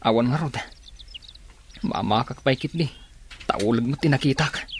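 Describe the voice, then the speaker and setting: An actor plays a wary Filipino castaway in a radio drama. His medium-pitched voice comes over faint noise of animals and birds. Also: normal speed, lightly acted. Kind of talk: Ilocano radio drama acting.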